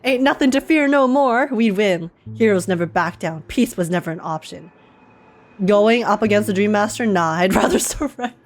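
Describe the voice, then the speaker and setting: A young woman giving casual, animated, slangy commentary as she reacts to a game, repeating herself for emphasis. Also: mid-range voice, normal speed. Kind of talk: casual excited live commentary. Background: game music.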